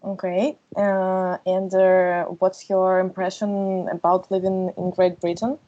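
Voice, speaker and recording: A woman interviewing a guest on a podcast, speaking haltingly with frequent "uh" fillers. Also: on the low side, little pitch movement, warm tone. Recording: dull lo-fi recording with clear noise.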